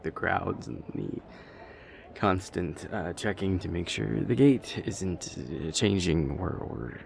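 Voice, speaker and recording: A man playing a nervous vampire boyfriend who is afraid of flying, speaking haltingly with stammers in an intimate audio roleplay. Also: low-pitched, gravelly, a little mellow, full character voice. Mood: nervous, uneasy.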